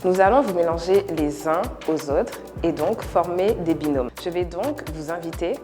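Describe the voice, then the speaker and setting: A woman giving a measured, formal welcome speech to contestants. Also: lowish voice, long reverb tail, music in the background. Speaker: association president welcoming contestants.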